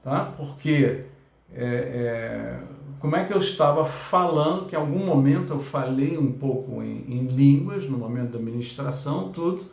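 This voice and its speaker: Chatty pastor in an echoey room. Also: male voice, mid-range voice, moderate pace, creaky, darkish tone. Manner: conversational, many fillers and tag questions. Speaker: pastor and Bible teacher. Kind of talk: church teaching lesson.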